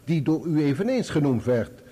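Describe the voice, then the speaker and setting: A man acting in a radio play as a sharp, insistent barrister, formal, pointed and sardonic as he questions a witness. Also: on the high side, very animated, moderate pace, husky. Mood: probing, formal. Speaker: actor playing a lawyer.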